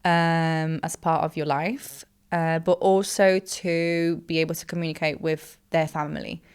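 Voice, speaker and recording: A young woman from Poland talks as a guest in a relaxed interview. Her voice sits a little low and sounds smooth and resonant. She rambles along with plenty of fillers. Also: unhurried. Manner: chatty, rambling, with frequent fillers and laughs. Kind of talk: casual interview chat.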